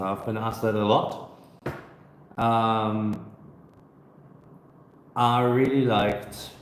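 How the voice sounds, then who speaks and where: Brief: thirties male travel vlogger, relaxed hesitant live Q&A on a phone, roomy echo, faint background ambience. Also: medium pitch, a little hiss or hum. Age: 30s. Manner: relaxed, hesitant with many fillers and restarts. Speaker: travel vlogger answering viewer questions. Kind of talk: casual live stream Q&A. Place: busy hostel co-working space. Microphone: phone camera.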